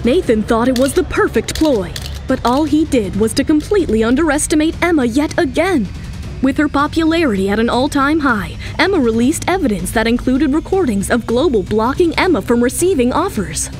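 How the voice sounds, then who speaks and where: Female narrator, high voice, emphatic dramatic storytelling, music underneath. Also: lively intonation, brisk, a little mellow. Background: dramatic background music.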